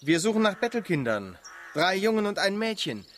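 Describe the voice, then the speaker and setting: An actor playing a shifty, blustering but cowardly thug in a children's radio play. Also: male voice, high-pitched, very animated, moderate pace, a little hiss or hum. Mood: shifty, pushy.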